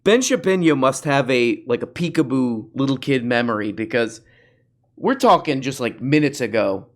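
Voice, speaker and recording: A man's voice: medium pitch, animated, moderate pace. A man hosting a comedy show, mocking clips in a rambling, self-interrupting way while losing his voice. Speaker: host.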